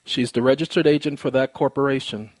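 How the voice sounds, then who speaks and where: A man's voice: medium pitch, fairly even intonation, a little fast, a little mellow, no room sound. A man speaking in a formal, polite but insistent way, explaining a complaint in precise wording. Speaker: caller, presenter of own recorded phone call.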